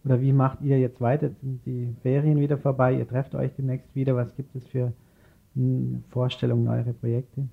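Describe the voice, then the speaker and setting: Gravelly male radio interviewer, muffled sound. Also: mid-range voice, level tone, normal speed. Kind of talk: informal local radio interview. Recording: dull, lo-fi radio recording.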